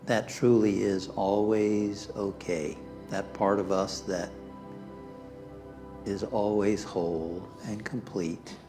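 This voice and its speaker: A man leading a guided meditation as a minister, speaking calmly and soothingly in short phrases with pauses, softly trailing off toward near-whispers. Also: on the low side. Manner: calm, soothing, pausing between short phrases. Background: background music.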